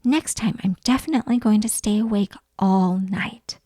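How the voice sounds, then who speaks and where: A woman voicing an eager, curious little girl pig in a children's storybook, excitable and full of questions. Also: medium pitch, normal speed, no echo, studio quality, lightly acted. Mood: determined. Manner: excitable, wide-eyed questioning. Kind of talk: children's storybook read-aloud.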